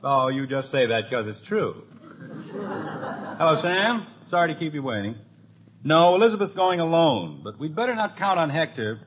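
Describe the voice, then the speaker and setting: Highly animated sitcom dad. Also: male voice, normal speed. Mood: wry. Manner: animated, wry. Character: wisecracking, easily exasperated middle-class husband and father. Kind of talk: 1950s radio sitcom acting. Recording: noisy, muffled old radio broadcast.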